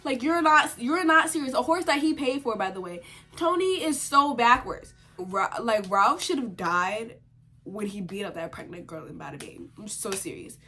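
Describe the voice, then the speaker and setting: A young woman vlogger with a congested cold, chatting at home in a sit-down video and giving opinionated takes full of "like" and sudden animated outbursts. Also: fairly high pitch, normal speed, faint music behind. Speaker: vlogger reviewing a TV series. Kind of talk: casual sit-down chat to camera.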